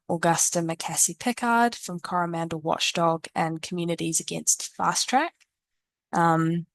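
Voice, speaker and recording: A woman's voice: lowish voice, no room sound. Hesitant woman hosting a webinar. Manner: hesitant, many ums and restarts. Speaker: panel facilitator.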